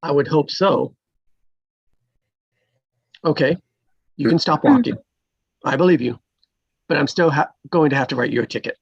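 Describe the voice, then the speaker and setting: A friendly traffic cop with a gravelly, rough-edged voice , played by a man at a screenplay table read. He is a little awkward and flirty, earnest with dry humor, and the sound is close and dry. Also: fairly high pitch, normal speed, pauses often, dry recording, muffled recording. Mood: dry, official.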